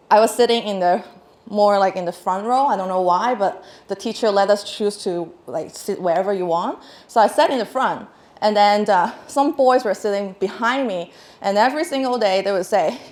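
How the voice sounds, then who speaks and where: A woman's voice: mid-range voice, moderate pace, a little mellow. A woman in her thirties from Hong Kong, with a Hong Kong accent, giving a casual talk on stage with lots of fillers and restarts. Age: 30s. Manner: chatty, laughing, many fillers and restarts. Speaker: speaker. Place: large hall with audience. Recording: echoey hall.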